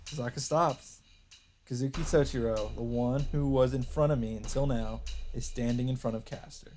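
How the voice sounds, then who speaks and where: A man with a mid-pitched voice reads visual novel narration aloud as the host of a let's play. His delivery is that of someone narrating a story from the screen. Faint game music plays behind him. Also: steady tone, normal speed, a little mellow.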